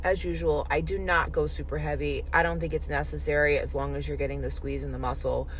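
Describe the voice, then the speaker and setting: A woman with a cold and a froggy, hoarse voice, chatting casually as a fitness vlogger and rambling and correcting herself as she goes. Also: low voice, flat delivery, a little fast, slight background noise. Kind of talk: casual vlog chat.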